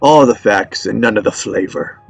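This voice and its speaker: Creaky, deadpan old storyteller, played by a man. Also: lowish voice, normal speed, no echo, faint music behind, poor audio. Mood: wry, teasing. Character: ailing old father, wry teller of tall tales. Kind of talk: dramatic script table read.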